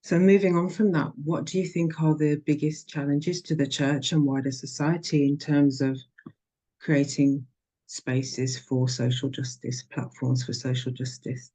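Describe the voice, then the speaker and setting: A woman's voice: sits low, level tone, a little slow, dark tone. A woman interviewer who asks short, polite questions and thanks her guest. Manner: brief, polite questions and acknowledgements.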